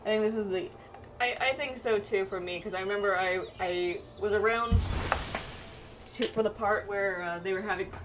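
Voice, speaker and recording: A woman's voice: medium pitch, moderate pace, background noise. A woman chatting casually through a game playthrough, reading the on-screen text aloud and stumbling now and then. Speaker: game streamer doing a playthrough. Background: game music.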